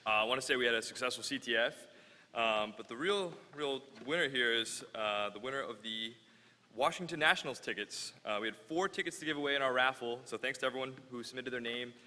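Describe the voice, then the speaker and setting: A man's voice: mid-range voice, brisk, pushed and bright. A man representing a sponsor announces a raffle winner, hesitant and full of fillers. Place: large conference hall. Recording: reverberant hall with faint noise.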